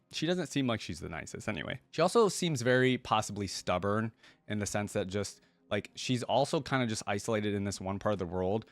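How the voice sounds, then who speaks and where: Guy with a lowish voice doing a reaction video, reads stuff out then chats about it casually, really dry close sound. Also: dry recording. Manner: informal, conversational asides. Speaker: YouTube reaction host. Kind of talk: casual reaction commentary, reading aloud with asides.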